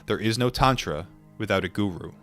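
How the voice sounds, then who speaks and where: Guy with a lowish voice reading a book and chatting casually, kinda reflective, faint music under him. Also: a bit bright. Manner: musing, informal, with pauses and repetitions. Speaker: streamer reading a book aloud and chatting with viewers. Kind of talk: book read-aloud mixed with casual reflective chat.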